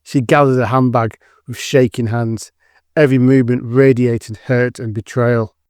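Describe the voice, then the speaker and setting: A man's voice: mid-range voice, fairly even intonation, moderate pace, darkish tone, smooth, no room sound, studio quality. A man giving scripted dramatic narration for an audio drama.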